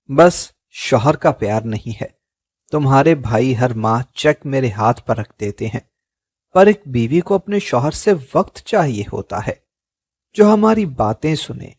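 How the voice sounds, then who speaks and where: A man reading a gently pleading aunt. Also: medium pitch, no room sound. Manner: earnest, pleading, advising. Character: gentle middle-aged aunt giving calm advice.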